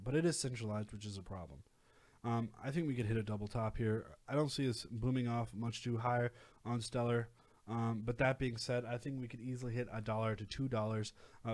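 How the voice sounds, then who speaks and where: Rambling young guy talking crypto charts. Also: medium pitch. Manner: rambling, many fillers and restarts. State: losing his voice. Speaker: crypto channel host. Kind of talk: casual screen-share chart commentary.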